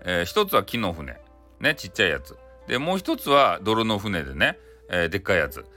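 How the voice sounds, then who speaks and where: A man tells a story in an animated, bright voice with a Hakata accent. He is casual and playful, improvising chattily and adding his own sound effects. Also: low-pitched, a little fast, faint music behind. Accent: Hakata Japanese. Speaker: storyteller, audio channel host. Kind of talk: casual improvised folktale telling in dialect.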